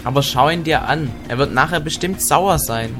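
A man's voice: on the high side, moderate pace, music in the background, lightly acted. A man playing a worried young adventurer in an amateur audio drama.

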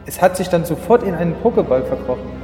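A man's voice: on the high side, lively intonation, quick pace, natural voice. A man playing an exasperated, smug sidekick in an amateur comedy audio drama, speaking theatrically. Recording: roomy, long reverb, music behind. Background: music.

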